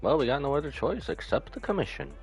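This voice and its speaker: A man's voice: mid-range voice, very animated, fast talker, creaky, soft background music. A man giving casual, slangy live game commentary with skeptical asides. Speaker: game streamer.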